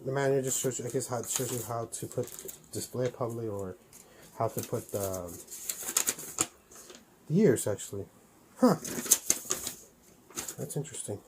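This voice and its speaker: A man's voice: mid-range voice, normal speed, long pauses, background noise. A man doing a casual unboxing review, talking informally and thinking aloud as he goes. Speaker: toy collector reviewing a helmet. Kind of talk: casual unboxing vlog chat.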